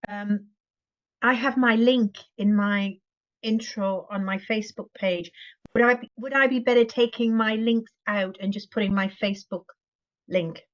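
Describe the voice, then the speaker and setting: A woman's voice: medium pitch, steady tone, a little slow. A woman taking part in a casual coaching call, replying hesitantly with fillers. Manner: hesitant, short replies with fillers. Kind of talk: casual chat. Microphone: video call. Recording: dull, lo-fi video call audio.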